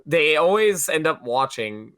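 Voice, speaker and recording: A young man guesting on a podcast with a hoarse voice, telling stories in an animated, profane way. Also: fairly high pitch, measured pace, no room sound. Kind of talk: casual chat.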